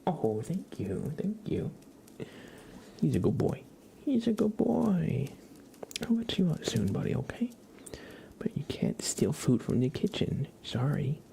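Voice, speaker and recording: A man hosting an ASMR livestream, chatting casually and playfully in a soft voice that often slips into whispers. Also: fairly high pitch, very animated, unhurried, gravelly, long pauses. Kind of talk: ASMR, casual chat to viewers and a pet cat.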